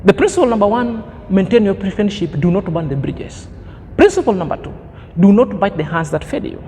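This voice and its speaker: Man, 30s, high-pitched vlogger with a preachy, emphatic delivery and lofty vocabulary, recorded in a reverberant room with a low rumble. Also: expressive, fairly quick, mellow. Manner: preachy, emphatic, fond of grand words. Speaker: commentary vlogger. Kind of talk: opinion commentary monologue.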